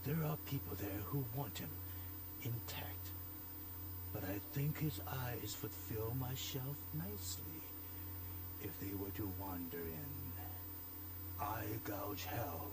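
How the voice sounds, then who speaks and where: An actor playing a cryptic, sinister antique shopkeeper in a video game, telling morbid tales in a measured, formal, ominous way. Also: male voice, fairly low pitch, animated, noisy background. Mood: sly, morbid. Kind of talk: dramatic video game acting.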